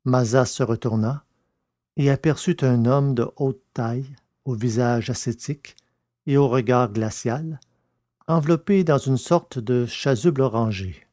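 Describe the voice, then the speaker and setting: A man's voice: mid-range voice, measured pace, a little mellow, dry recording, studio quality. A man reading scripted narration for an audio drama.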